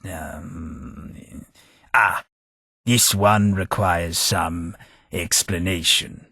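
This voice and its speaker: An actor playing a melancholy, formal old British former taxman in a video game, husky and measured in his wordy speech. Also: low-pitched, fairly bright tone, no echo, clean studio sound, full character voice. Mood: polite, hesitant. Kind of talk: video game character dialogue.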